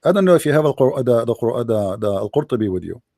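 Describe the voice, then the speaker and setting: A man's voice: mid-range voice, lively intonation, fast talker, no echo, studio quality. Argumentative man with an Arabic accent. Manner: forceful, argumentative, quotes sources. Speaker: debate host. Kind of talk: religious debate.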